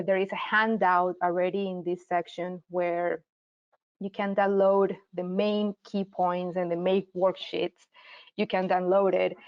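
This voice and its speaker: Colombian-accented woman in her 30s, friendly workshop teacher, mid-pitched voice, slightly dull web-call sound. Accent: Colombian, from Medellín. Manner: friendly, explanatory, with frequent fillers and restarts. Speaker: marketing teacher and host. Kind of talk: live online workshop presentation.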